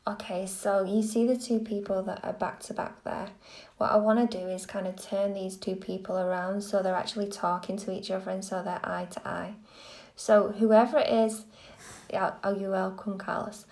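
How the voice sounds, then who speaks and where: A woman reading tarot on a live stream, gentle and soothing, a little croaky from a cold. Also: mid-range voice, flat delivery, moderate pace. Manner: gentle, soothing, lots of okays. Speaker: tarot reader.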